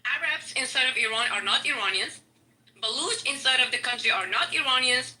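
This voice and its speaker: Argumentative woman with a high, bright voice. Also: lively intonation, brisk, poor audio. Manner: argumentative, interrupts. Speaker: participant.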